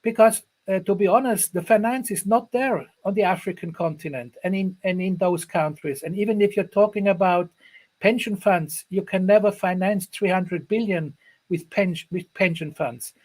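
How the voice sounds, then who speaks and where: A man from Ghana with a high-pitched voice talks earnestly on a video call. He often circles back and repeats his phrases before going on. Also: moderate pace, darkish tone. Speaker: guest, rail project executive. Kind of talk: online panel discussion.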